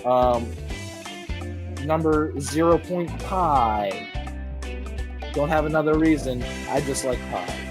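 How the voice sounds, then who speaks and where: A man's voice: on the high side, takes it slowly, long pauses, over music. Goofy rambling young man hosting a podcast. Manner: goofy, rambling, self-deprecating jokes. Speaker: podcast host. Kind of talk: casual comedic podcast chat.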